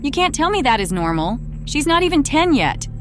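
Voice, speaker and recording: A woman's voice: high-pitched, sing-song, fairly quick, background noise. A woman playing an anxious, devoted cat owner in a dramatic audio story, pleading and insistent. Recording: voice over prominent music.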